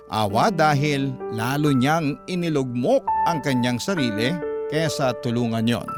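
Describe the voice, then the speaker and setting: A man narrating a letter in the first person as a dramatic radio storyteller. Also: medium pitch. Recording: prominent instrumental music behind narration. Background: instrumental music.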